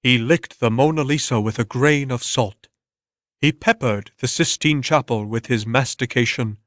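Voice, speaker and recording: A man's voice: medium pitch, normal speed, fairly bright tone, no echo. Male story narrator, dry close sound. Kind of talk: dramatic scripted story narration.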